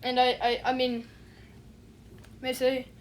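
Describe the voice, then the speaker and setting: A woman's voice: high-pitched, completely flat delivery, takes it slowly, a little hiss or hum. A woman giving a casual spoken review, rambling with many fillers and a dismissive, unimpressed manner. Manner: rambling, heavy fillers, repeats "you know what I'm saying". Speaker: online reviewer.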